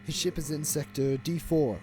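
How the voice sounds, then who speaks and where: A man playing a calculating, commanding gang boss in an audio drama, speaking in a measured, deliberate, authoritative way. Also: on the high side, steady tone, close to an everyday voice. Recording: music under the voice. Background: dramatic music.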